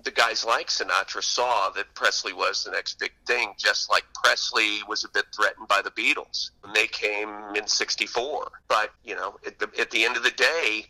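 A man's voice: on the low side, steady tone, moderate pace, few pauses, sharp tone, poor audio. A man being interviewed as an author, telling anecdotes in a conversational radio style.

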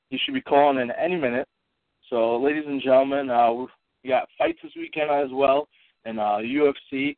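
A man with a stuffy, congested voice hosting a casual call-in podcast, talking in halting bursts full of fillers and restarts. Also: mid-range voice, no echo. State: stuffy from allergies and a cold. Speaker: podcast host. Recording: tinny dry phone line.

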